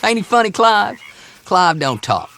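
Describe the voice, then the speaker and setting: An actor playing a cocky, menacing backwoods horse dealer with a needling Southern drawl in a game cutscene. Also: male voice, sits high, big pitch swings, a little slow, bright, forceful tone, exaggerated voice. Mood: mocking, menacing. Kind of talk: video game cutscene acting.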